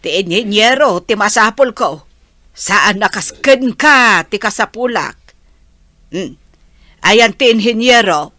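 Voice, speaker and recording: Napigsa ti timekna nga Ilocana nga ina nga iyak-akting ti aktres. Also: female voice, mid-range voice, expressive, pushed and bright, no room sound. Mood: demanding, irritated. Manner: exclamatory, drawn-out interjections. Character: pushy, pleading village mother, sharp-tongued with underlings. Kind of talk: Ilocano radio comedy-drama acting.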